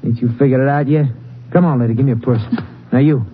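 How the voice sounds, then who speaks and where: Menacing American stick-up man male actor, very fast, curt, sneering, 1950s radio villain on a muffled recording. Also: mid-range voice, fairly even intonation, slight background noise, close to an everyday voice. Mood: cold, mocking. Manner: curt, mocking. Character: cold, menacing, sneering armed robber.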